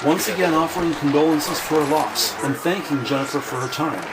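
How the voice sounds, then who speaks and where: High-pitched male crime drama narrator. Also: fairly quick, over a noisy crowd, very noisy. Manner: precise, descriptive. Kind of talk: scripted police drama narration. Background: music.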